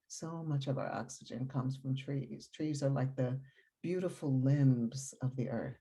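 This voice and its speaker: Woman doing guided meditation, really dark mellow voice, kinda breathy, slow and calm with long pauses. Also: a bass voice.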